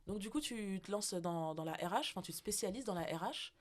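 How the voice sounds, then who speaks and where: A woman's voice: medium pitch, lively intonation, brisk. A woman hosting a casual podcast interview, friendly and hesitant, with many fillers. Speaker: podcast host.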